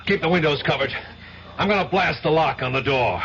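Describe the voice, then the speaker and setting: A tense police raid commander played by a man in an old radio drama. His fairly high voice snaps out terse, urgent orders through very heavy noise on a dull recording. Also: fairly quick, close to an everyday voice. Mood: urgent, commanding.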